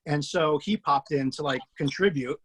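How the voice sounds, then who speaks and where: A man's voice: fairly high pitch, normal speed, fairly bright tone, a little hiss or hum. A man, the writer and host of an online table read, talking casually with many fillers and restarts over a video call.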